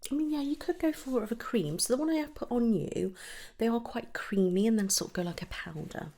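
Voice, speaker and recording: Hushed, creaky woman whispering ASMR. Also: a little high. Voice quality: soft whispering and hushed murmuring. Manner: gentle, reassuring, unhurried client consultation. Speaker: ASMR roleplayer as make-up artist. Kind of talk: ASMR personal-attention roleplay.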